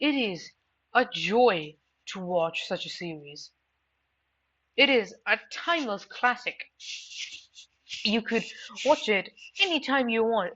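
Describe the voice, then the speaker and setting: A woman's voice: medium pitch, a bit bright, muffled recording. A woman reviewing anime in an informal video essay, speaking emphatically and repeating phrases for emphasis.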